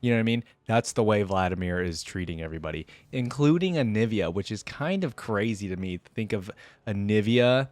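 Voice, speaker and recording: A man's voice: lowish voice, expressive, fairly quick, a little mellow, dry recording. A man hosting a fan reaction video with casual commentary, rambling informally and thinking aloud as he talks to viewers. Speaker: host of a fan reaction channel.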